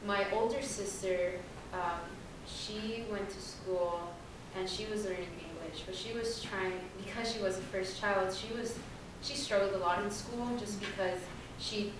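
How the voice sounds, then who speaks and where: A woman's voice: medium pitch, steady tone, a little slow, hardly any pauses, noisy background. A young woman on a panel telling her family's story in a loose, chatty way, full of fillers. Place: large echoey room. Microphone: distant room mic. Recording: echoey hall recorded from far off.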